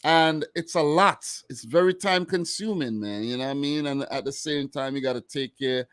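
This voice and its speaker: A man in his mid-thirties co-hosting a football chat show, talking in a relaxed, rambling way full of "man" and "you know". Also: medium pitch, normal speed. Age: 30s. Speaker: football podcast co-host. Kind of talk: casual chat.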